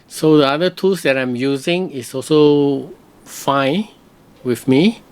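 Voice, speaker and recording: A man giving casual screen-recorded software review commentary, thinking aloud in simple, hesitant phrases. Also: on the high side, takes it slowly, smooth.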